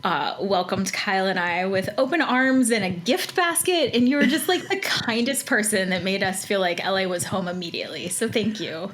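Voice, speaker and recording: A woman's voice: medium pitch, lively intonation, normal speed, few pauses, fairly bright tone, a little hiss or hum. A woman hosting a podcast in a chatty, effusive way, moving between scripted introductions and casual interview talk. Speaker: podcast host.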